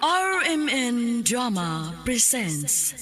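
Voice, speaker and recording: A woman's voice: fairly high pitch, sing-song, drawn-out words, a bit bright. A woman hosting a radio drama programme with scripted narration. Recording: music behind the voice.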